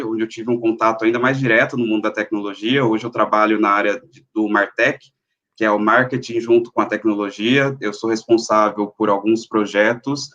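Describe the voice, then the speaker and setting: Low-voiced polite man in his thirties. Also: bright, forceful tone, dull audio. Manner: polite, measured, some hesitations. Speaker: panelist, marketing tech professional. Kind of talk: self-introduction at a live online event.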